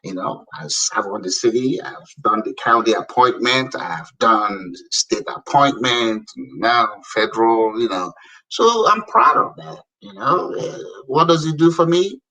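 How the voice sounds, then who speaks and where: A middle-aged man from Africa, a guest telling lively stories about public service in a casual talk, laughing often. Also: medium pitch, a little slow, breathy edge, far from the mic, rough recording. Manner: animated storyteller, laughs often. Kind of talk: casual radio conversation.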